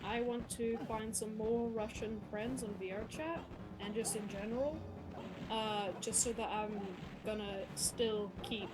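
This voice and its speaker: A woman's voice: on the high side, steady tone, a little slow, rough recording. A young woman chatting on a live stream from home, rambling with plenty of fillers and laughs. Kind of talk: casual chat on a live stream. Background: music playing.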